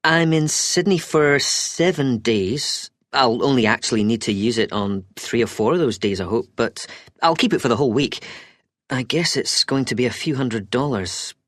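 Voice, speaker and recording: A woman's voice: rumbling low, moderate pace, no echo, clean studio sound. A woman playing an agreeable, inquiring customer planning a trip in a scripted language-test dialogue, a little hesitant and questioning.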